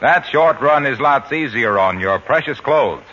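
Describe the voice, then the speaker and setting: A man's voice: medium pitch, expressive, unhurried, bright, forceful tone. A man announcing a radio commercial, cheerful and emphatic in his selling. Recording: dull old radio broadcast with faint hiss.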